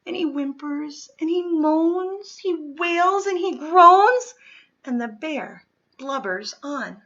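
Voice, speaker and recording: A woman's voice: sits high, animated, takes it slowly, fairly bright tone, dull audio. A woman reading a children's picture book aloud expressively, dropping to whispers at times. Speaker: storybook reader.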